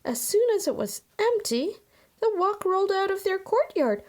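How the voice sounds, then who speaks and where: Woman storybook reader, high voice, scripted narration for children. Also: very animated, normal speed, darkish tone.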